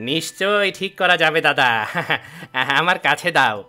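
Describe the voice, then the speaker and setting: A crafty, sneering village cobbler man, voiced by a woman, with a low-pitched, forceful voice that swoops about. He sounds smug and mocking, a cartoon rival, with faint music behind. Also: animated, slow pace, full character voice. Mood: oily, eager. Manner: mocking, chuckling, swooping delivery. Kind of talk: children's fairy-tale cartoon acting.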